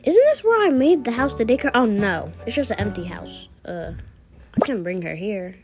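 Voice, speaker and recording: A woman's voice: mid-range voice, lively intonation, normal speed. A woman casually improvising narration for a toy-app roleplay video, thinking aloud through each small action. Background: music.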